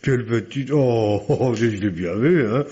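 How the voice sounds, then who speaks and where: Radio play doorman male actor: talkative, deferential but cheeky, folksy, middle pitch, muffled old tape with some noise. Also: normal speed, warm tone, close to an everyday voice. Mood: teasing, cheeky. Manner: rambling, folksy, full of interjections. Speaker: doorman and receptionist. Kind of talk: old French radio drama acting. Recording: dull, noisy vintage radio recording.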